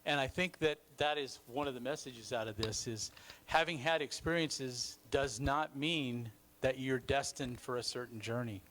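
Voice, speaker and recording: A man's voice: medium pitch, moderate pace, few pauses. A man leading a church recovery session, affirming people and drawing lessons from what they share. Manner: warm, affirming, reflective. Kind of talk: encouraging workshop talk. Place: church hall.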